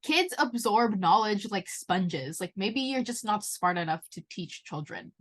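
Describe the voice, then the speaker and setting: A young woman co-hosting a casual podcast. She speaks bluntly and with emphasis, dropping "like" all through, at a middle pitch for a woman. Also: animated, unhurried. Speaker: podcast co-host. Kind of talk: casual chat.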